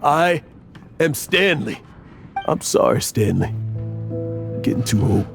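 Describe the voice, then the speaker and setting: An aging, boastful adventurer from a video game who stammers when flustered and turns mournful over a lost partner. He talks slowly, and his pitch rises and falls a lot. Game music plays in the background. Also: sing-song, gravelly, exaggerated voice. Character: aging boastful male adventurer, guilt-ridden.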